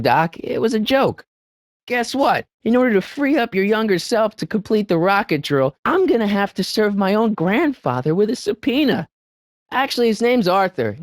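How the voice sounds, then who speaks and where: A man's voice: high-pitched, no echo, studio quality. A man playing an earnest, wisecracking American teenage boy in a video game, with a youthful voice and a casual, slightly hesitant, eager manner. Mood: sheepish, joking, then concerned.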